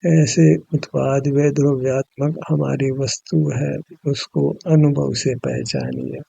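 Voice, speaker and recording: A man leading a guided meditation as a spiritual teacher, in a measured, instructive way, on a dull, muffled recording. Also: mid-range voice, little pitch movement, gravelly, mellow, dry recording.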